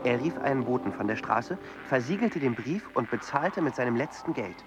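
An actor voicing a young man who tells a story about his father in a lively way, in a radio play. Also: medium pitch, fairly quick, dull audio, noisy background, natural voice. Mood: storytelling.

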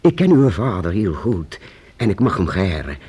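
An actor playing a weary, earnest old master painter in a Dutch radio play, speaking haltingly with repeated words and archaic formal address. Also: male voice, low-pitched, sing-song, dark tone, husky, full character voice. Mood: confiding, rueful. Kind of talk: Dutch radio drama acting.

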